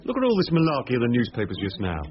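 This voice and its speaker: An actor playing a down-to-earth, self-assured British police detective in a radio drama, emphatic and scornful. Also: male voice, medium pitch, huge pitch swings, very fast, noisy background. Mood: confident, dismissive. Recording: muffled radio drama, loud music behind.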